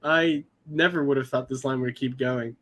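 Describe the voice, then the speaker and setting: A man's voice: mid-range voice, fairly even intonation, moderate pace, a bit bright. A congested man co-hosting a casual podcast, rambling with fillers and chuckling often. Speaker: podcast co-host. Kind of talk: casual chat. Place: indoors near a highway.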